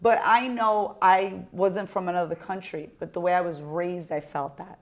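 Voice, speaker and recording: Brash woman podcast host, lowish voice. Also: moderate pace. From United States. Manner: animated storytelling, swearing, laughing. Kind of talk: casual interview chat.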